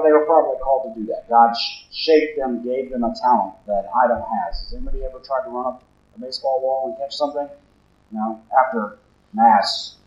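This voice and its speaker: A man, a deacon preaching a sermon in a church in a low, conversational way and putting questions to the congregation. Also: darkish tone. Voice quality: deep voice. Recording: roomy, slightly dull church recording.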